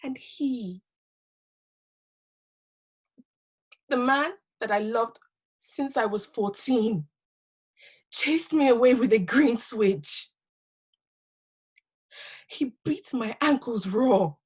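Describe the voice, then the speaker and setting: A woman performing a dramatic stage monologue as a wounded, bitter wife, with deliberate, halting, impassioned delivery. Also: fairly high pitch, husky. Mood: pained, bitter. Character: wounded, bitter wife who survived violence and rejection.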